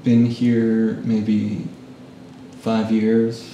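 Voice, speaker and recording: A man in his late thirties who grew up in Japan, a guest photographer speaking softly and hesitantly, trailing off with ums. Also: on the low side, completely flat delivery, drawn-out words. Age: late 30s. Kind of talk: casual interview. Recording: echoey room, mic at a distance, noise.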